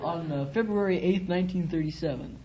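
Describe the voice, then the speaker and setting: A fourteen-year-old American boy with a breaking voice, answering haltingly as a quiz contestant. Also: on the high side, over a noisy crowd. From Los Angeles, United States. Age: 14, teenage boy. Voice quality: breaking adolescent voice. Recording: dull vintage radio, noisy, studio audience.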